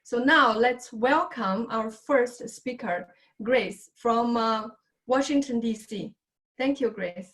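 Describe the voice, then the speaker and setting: Woman hosting a video call, high voice. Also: a bit bright. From China. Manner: friendly, unhurried, with many fillers. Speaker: host of an online business presentation.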